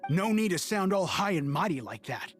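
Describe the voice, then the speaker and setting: A man's voice: high voice, very animated, moderate pace, faint music behind. A man voicing a stubborn male guard in a video game, blunt and challenging.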